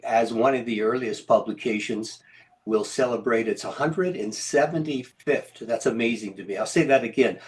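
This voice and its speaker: Friendly male interview host. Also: mid-range voice. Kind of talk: interview hosting.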